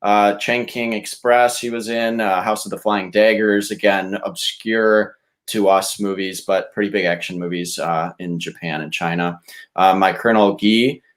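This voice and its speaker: A man on a podcast casually pitching a movie, with a halting, informal delivery. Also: fairly even intonation.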